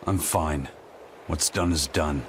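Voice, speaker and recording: A man's voice: extremely low pitch, slow pace, a little hiss or hum. A man playing a stoic, commanding seafarer in a fantasy video game, speaking tersely.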